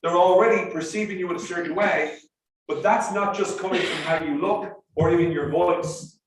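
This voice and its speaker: A man with an Irish accent giving a sales training talk to a live group. He explains things step by step with energy, and his voice drops softly at the ends of phrases. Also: sits high, normal speed, distant mic. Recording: roomy echo, speaker off-mic.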